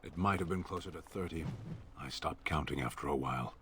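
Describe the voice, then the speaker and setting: An actor playing a stoic, deadpan giant of a warrior in a video game, terse and dryly witty. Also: male voice, extremely low pitch, brisk, noisy background. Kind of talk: video game dialogue acting.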